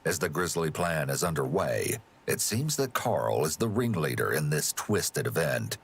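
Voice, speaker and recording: A man reading scripted true-crime narration in a measured, serious manner. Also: extremely low pitch, very animated, vocal fry, husky.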